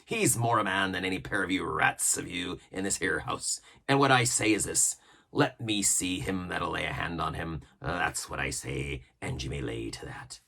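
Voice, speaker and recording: A man's voice: low-pitched, normal speed, gravelly, bright, forceful tone, full character voice. A man voicing a fierce, swaggering old one-legged pirate cook who commands and threatens in a storybook reading. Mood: contemptuous, commanding. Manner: menacing, swaggering, uses old nautical slang. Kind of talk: storybook character voice.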